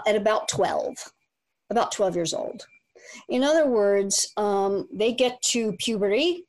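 A woman relationship coach and author, explaining her views as a guest in a measured way with fillers. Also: on the high side. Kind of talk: interview guest.

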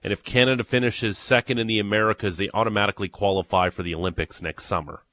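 A man with an Ontario accent hosting a morning sports radio show, chatting and teasing with excitable energy. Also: on the low side, quick pace. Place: radio studio. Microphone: studio microphone.

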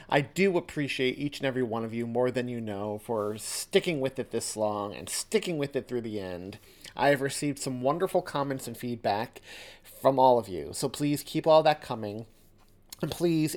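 A man hosting a podcast, addressing listeners in an earnest, appreciative way. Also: medium pitch. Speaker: podcast host and author.

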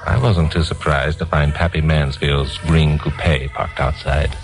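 A wry, assured American newsman hero, in an old radio crime drama. His voice is deep, with music in the recording. He sounds breezy and sure of himself, whether narrating or talking. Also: steady tone, a little slow, poor audio. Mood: tense, matter-of-fact. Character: confident, teasing hard-boiled newsman hero and narrator.

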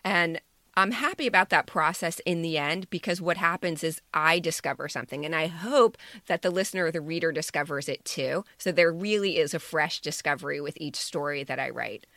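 Woman in her fifties, low pitch, vocal fry, dry close sound, emphatic casual reflection. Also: fairly quick, pushed and bright, no room sound. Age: 50s. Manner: emphatic, repeats words for stress. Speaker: podcast co-host and writer.

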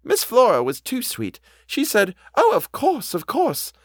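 A man's voice: extremely high pitch, huge pitch swings, measured pace, a bit bright, slightly rough, dry recording, voice pushed up, full character voice. A man voicing a kindly, simple older woman housekeeper in an audiobook, anxious and earnest, often startled and questioning. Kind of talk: audiobook character dialogue.

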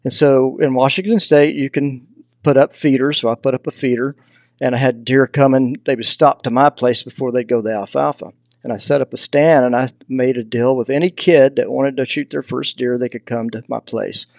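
A man's voice: medium pitch, steady tone, fairly quick, vocal fry, darkish tone, no room sound. Folksy Southern US man, close dry sound. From Texas, US. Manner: folksy, anecdotal. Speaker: podcast guest, hunting mentor and foundation founder. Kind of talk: casual interview storytelling.

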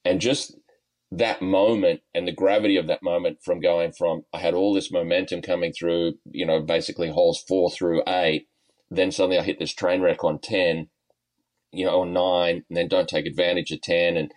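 Deep-voiced Australian man, easygoing. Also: little pitch movement, moderate pace, darkish tone. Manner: easygoing, lots of ums and uhs, chuckles often. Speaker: golf coach and guest. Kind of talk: podcast interview, relaxed storytelling.

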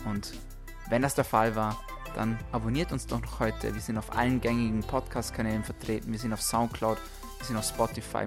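A man's voice: lowish voice, gravelly. A man hosting a motivational podcast, speaking straight to listeners in an emphatic way and repeating phrases for effect. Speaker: podcast host. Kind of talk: motivational solo podcast talk, direct address. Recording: music under the voice.

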